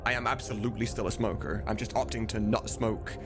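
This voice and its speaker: A man's voice: on the low side, fast talker, music in the background. A man chatting casually on a live stream, rambling and informal with some swearing. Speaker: live streamer and game modder.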